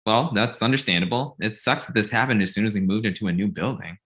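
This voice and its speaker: A man's voice: fairly low pitch, fast talker, a bit bright, close to an everyday voice. A man playing an anxious, bewildered young roommate in a comedy table read. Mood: concerned.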